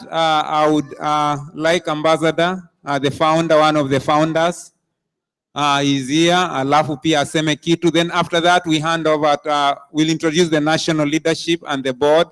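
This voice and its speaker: Man emceeing, smooth raised voice. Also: fairly high pitch, fairly bright tone. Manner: hesitant, filling pauses with uh. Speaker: master of ceremonies. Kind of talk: event announcements.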